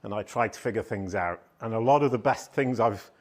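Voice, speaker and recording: A middle-aged man giving a live conference talk in a hall on a handheld microphone, telling stories loudly and poking fun at himself. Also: on the low side, a little fast. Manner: loud, animated, self-deprecating storytelling. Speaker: conference speaker.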